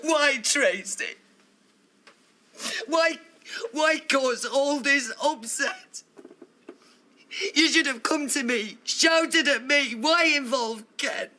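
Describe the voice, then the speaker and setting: A woman's voice: fairly low pitch, big pitch swings, a little slow, fairly bright tone, exaggerated voice. An actress playing a distraught middle-aged wife and mother in a TV soap, with a Northern English accent, guilty and defensive in an emphatic way. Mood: anguished. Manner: emphatic, anguished delivery. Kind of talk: naturalistic television soap drama acting.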